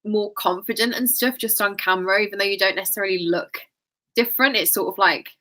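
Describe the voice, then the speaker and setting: A woman chatting as a guest on a live video stream, enthusiastic and quick to laugh. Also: medium pitch, sharp tone. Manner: enthusiastic and giggly. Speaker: guest co-host. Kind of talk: casual live-stream chat.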